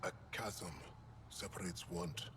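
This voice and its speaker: Low-voiced solemn alien sage, noisy background. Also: male voice. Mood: grave. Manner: measured, cryptic, grave. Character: solemn, resigned male alien mystic sage. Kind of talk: video game cutscene acting.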